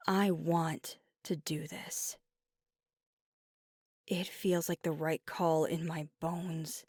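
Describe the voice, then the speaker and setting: A woman playing an earnest, conflicted young werewolf girlfriend in an intimate ASMR roleplay, speaking softly and candidly, often near a whisper, close to the mic. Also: deep voice, fairly even intonation, breathy edge, dry recording, full character voice. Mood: resolute. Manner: deliberate, candid, casually profane. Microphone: close microphone, dry.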